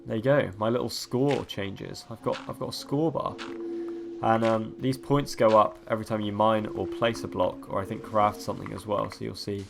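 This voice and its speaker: A young man with a cold, sniffly and croaky, rambling through casual gameplay commentary as a let's-play host. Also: lowish voice, fairly even intonation, normal speed, over music. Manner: rambling, many ums and restarts.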